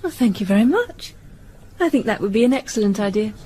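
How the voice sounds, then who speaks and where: An actress playing a sensible, friendly young English woman in a radio drama, polite and gently teasing. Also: medium pitch, lively intonation, fairly quick, slight background noise, lightly acted. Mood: bright interest. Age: young adult.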